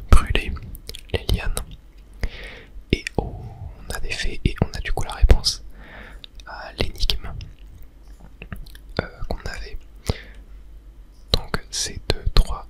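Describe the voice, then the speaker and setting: Someone softly whispering calm, soothing ASMR commentary while playing a video game, describing each step as it goes. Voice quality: soft unvoiced whisper. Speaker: video game streamer, ASMR channel host.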